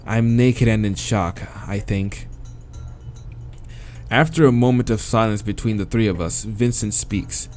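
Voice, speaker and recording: An American man reading a visual novel aloud as a let's-play host, dramatic in the narration and breaking into slangy, expressive asides. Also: lowish voice, unhurried, faint music behind. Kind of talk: dramatic read-aloud with casual reactions.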